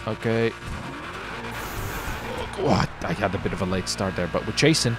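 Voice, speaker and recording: A man's voice: low voice, animated, normal speed, over music. A man doing casual commentary during an online roleplay game, given to swearing and exclamations. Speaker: gaming streamer.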